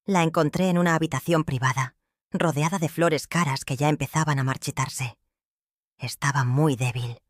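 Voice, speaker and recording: An older woman with a Mexican accent narrating her own life story in a slow, heartfelt, reflective way. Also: low-pitched, no room sound, studio quality. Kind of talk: first-person storytelling narration.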